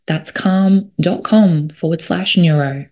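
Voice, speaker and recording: A woman reading a scripted ad in a calm, soothing manner. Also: on the low side, slow pace. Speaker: ad reader. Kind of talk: scripted ad read.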